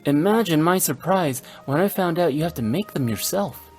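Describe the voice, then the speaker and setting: Earnest, hesitant boyish messenger. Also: male voice, on the high side, lively intonation, normal speed, husky. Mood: earnest, flustered. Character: earnest, modest, unsure young soldier boy. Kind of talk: video game voice acting. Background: music.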